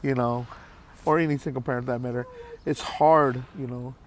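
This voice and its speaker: Late-fifties man, vlogger, heartfelt and halting, mid-pitched voice, faint background noise. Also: fairly even intonation. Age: 50s. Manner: halting, heartfelt, repeats himself with many fillers. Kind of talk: candid talk to camera.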